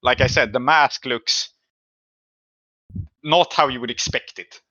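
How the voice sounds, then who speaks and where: A man's voice: medium pitch, slow pace, bright, forceful tone, muffled recording. A man working as game master, narrating an improvised tabletop game and feeling his way through descriptions aloud.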